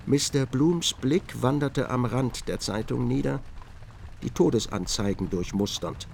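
A man narrating a literary radio drama as an observant, measured storyteller. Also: medium pitch, vocal fry, darkish tone, a little hiss or hum.

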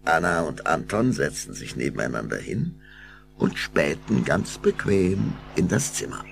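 A deep-voiced man narrates a children's story expressively. His voice is gravelly and swings widely in pitch, over clear background noise. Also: big pitch swings, unhurried. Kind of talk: scripted children's audio drama narration.

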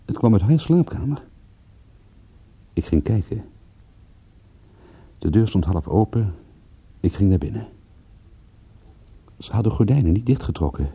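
A man playing a world-weary, tender private detective in a radio drama, speaking in a measured, intimate way. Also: sits low, husky, dull audio, full character voice. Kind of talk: radio drama acting with first-person narration.

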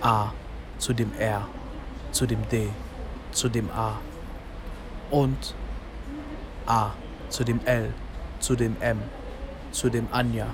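A man's voice: medium pitch, flat delivery, slow, long pauses, background noise. An actor playing a bitter young man from an immigrant neighbourhood in a radio drama monologue, speaking in rhythmic short phrases with insistent repetition. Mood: sad, resigned. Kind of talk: literary radio drama monologue, spoken-word delivery.

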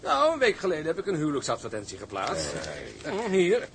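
A man's voice: on the high side, huge pitch swings, brisk, a little hiss or hum. A man playing a cheeky, boastful ship's mate in a radio drama, jaunty and self-satisfied. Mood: smug, amused. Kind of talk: Dutch radio drama acting.

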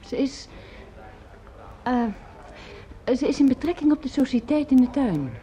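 A woman's voice: high voice, animated, unhurried, mellow. An actress playing a worried, weary middle-aged mother in a Dutch radio drama, hesitant and subdued. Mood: hesitant, uneasy. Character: worried, weary, reticent mother of a grown son. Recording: dull, muffled old radio recording.

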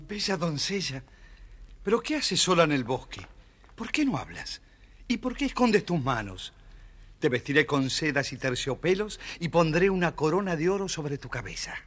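A courtly young king declaims gallantly in a fairly high voice. His delivery swings up and down with lively expression, as in a fairy-tale record. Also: moderate pace. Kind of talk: dramatized children's audio story acting.

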